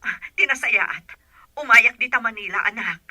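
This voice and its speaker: A woman's voice: on the high side, normal speed, sharp tone, dry recording, clean studio sound. A woman acting a protective, plain-spoken provincial mother in an Ilocano radio drama. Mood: determined.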